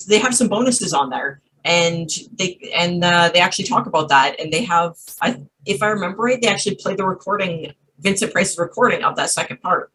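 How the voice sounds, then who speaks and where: A woman with a husky voice in casual conversation on a radio show. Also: on the low side, a bit bright, poor audio.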